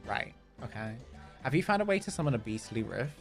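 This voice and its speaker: A man's voice: mid-range voice, big pitch swings, fairly quick. Guy streaming a game, casual reactions, voice jumps around a lot, music in the background. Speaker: game livestreamer. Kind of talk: casual live reactions while playing.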